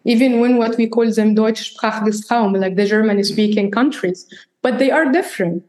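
A woman's voice: on the high side, moderate pace, a little mellow, roomy sound. A woman whose first language is Arabic, answering interview questions in an earnest way, often restarting sentences and checking in with "right?". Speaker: interview guest.

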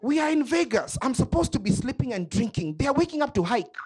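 High, husky stand-up comedian from Kenya. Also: male voice, quick pace. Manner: loud, animated, playful with the crowd. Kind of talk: stand-up comedy with crowd work. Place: comedy club stage.

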